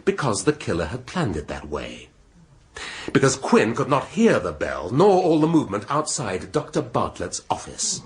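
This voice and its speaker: An actor playing a shrewd middle-aged English police inspector in a radio drama, speaking deliberately and rhetorically with dramatic pauses. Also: male voice, medium pitch, huge pitch swings. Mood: accusing. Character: shrewd, theatrical male police inspector laying out a murder case.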